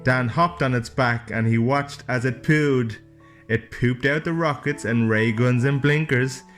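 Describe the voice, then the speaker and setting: A man's voice: mid-range voice, a little slow, fairly bright tone. A man reading a rhyming storybook aloud as a father would to his child, with playful, chatty asides. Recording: music playing behind the voice. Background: plucked guitar music.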